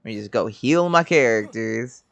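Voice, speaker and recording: A man's voice: on the low side, lively intonation, moderate pace, gravelly, highs cut off. A young man giving casual gameplay commentary as a streamer, with slangy, sweary, jokey phrasing. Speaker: gaming streamer. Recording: game music under commentary.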